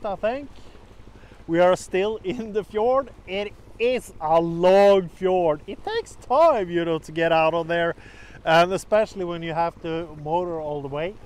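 A man in his forties, vlogging to camera on a sailboat, talking in a relaxed, chatty way with many fillers. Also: high-pitched. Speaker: sailing vlogger. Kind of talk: casual vlog talk to camera. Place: sailboat on a fjord, outdoors, then in the cabin. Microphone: camera held at arm's length, then camera on a shelf.

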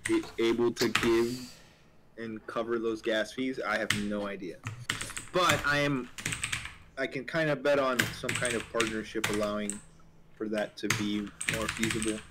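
A man's voice: fairly low pitch, fairly even intonation, measured pace. A man chatting casually in an informal, conversational way. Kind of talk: casual chat. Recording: clear typing and clicking noise. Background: keyboard typing and clicks.

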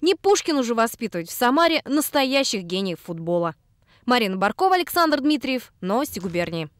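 Lively high-voiced female news reporter. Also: no room sound, studio quality. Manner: measured, expressive voice-over. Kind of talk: scripted narration.

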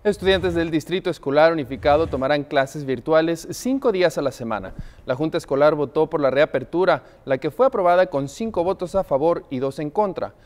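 A man news anchor reads scripted broadcast copy in a fairly high voice. The recording has a long reverb around his voice. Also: brisk, hardly any pauses, darkish tone. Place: TV news studio.

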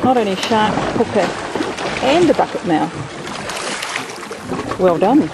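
A man's voice: sits high, sing-song, takes it slowly, gravelly. A man chatting casually as a fishing companion out at sea. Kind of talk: casual chat. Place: outdoors on a boat at sea. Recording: loud boat noise, outdoors at sea. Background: loud boat and water noise.

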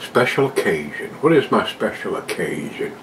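A man's voice: on the low side, very animated, normal speed, a bit bright, slight background noise, low-quality recording. An older man at home casually reviewing a beer, chatting to his viewers in a folksy way. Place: home room with a desk and plants, indoors.

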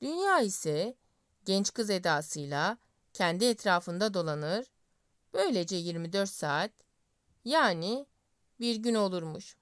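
A woman storyteller narrating a scripted fairy tale in deliberate phrases with pauses. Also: medium pitch, smooth, no room sound, studio quality.

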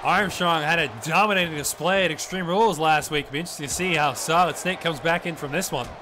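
Profane, excitable wrestling commentator. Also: male voice, fairly high pitch, fast. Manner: excited, profane, drops in "mate" often. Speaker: play-by-play commentator. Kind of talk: wrestling commentary on a video game match. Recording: noisy background.

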